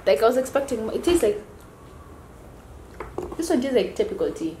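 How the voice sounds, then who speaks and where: Young woman, self-shot vlog, relaxed chatty delivery, somewhat deep voice, faint hiss. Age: young adult. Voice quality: deeper voice for a woman. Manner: chatty, relaxed, drawn-out fillers. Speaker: student vlogger. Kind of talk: casual vlog chat. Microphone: camera or phone held by herself.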